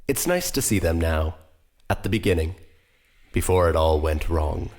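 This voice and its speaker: An actor as a grave male documentary host in an audio drama. His lowish voice rises and falls a great deal as he narrates with theatrical weight. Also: expressive, normal speed, a little mellow. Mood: grave, wistful. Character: solemn male documentary programme presenter.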